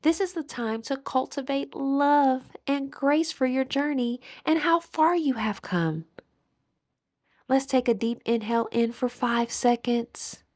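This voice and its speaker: A woman with a fairly high voice guides a meditation. She speaks very slowly and deliberately, in a soothing way, leaving gentle pauses between her instructions.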